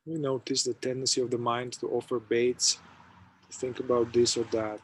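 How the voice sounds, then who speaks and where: A man, a somatic therapist, leading a guided meditation, speaking slowly and softly in short phrases with long pauses. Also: medium pitch, little pitch movement, a little hiss or hum, low-quality recording. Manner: slow, soft-spoken, with long pauses between phrases.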